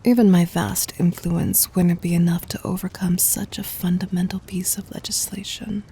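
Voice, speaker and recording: A woman playing a regal, adoring demon queen in an intimate ASMR roleplay, whispery and breathy, speaking formally with tender command. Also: fairly low pitch, little pitch movement, moderate pace, mellow. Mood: regal, doting, composed.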